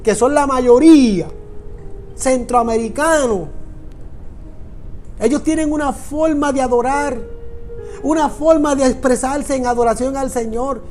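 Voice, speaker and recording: A man's voice: extremely high pitch, a little slow, pauses often, a little mellow, faint music behind. A man with a Puerto Rican Spanish accent, a pastor preaching a sermon in an earnest, repetitive way that speaks directly to listeners. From Puerto Rico. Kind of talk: sermon on prayer.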